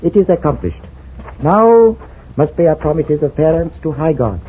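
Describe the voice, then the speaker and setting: A man's voice: fairly high pitch, big pitch swings, moderate pace. A man playing a very fat, fatalistic Chinese merchant of forty-five in a radio drama, speaking polite, formal broken English with a put-on Chinese accent. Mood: solemn, matter-of-fact. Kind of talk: 1950s radio drama acting. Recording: tinny, noisy old-time radio recording.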